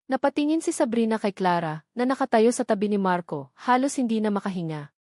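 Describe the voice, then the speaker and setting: A woman narrator with a Filipino accent reads a dramatic love story. Her pitch sits in the middle range for a woman. The recording is very dry, with no room sound around the voice. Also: lively intonation, fairly quick, a little mellow, no room sound.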